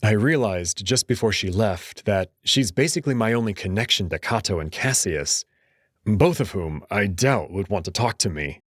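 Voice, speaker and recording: A man's voice: lowish voice, lively intonation, normal speed, a bit bright, no room sound, studio quality. A man playing an uneasy young student who narrates a visual novel in the first person, introspective and hesitant, acting out his own dialogue. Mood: brooding, subdued.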